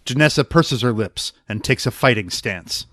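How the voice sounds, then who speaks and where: A man giving tense, energetic scripted narration of action in an audio drama. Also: medium pitch, moderate pace, no echo, studio quality.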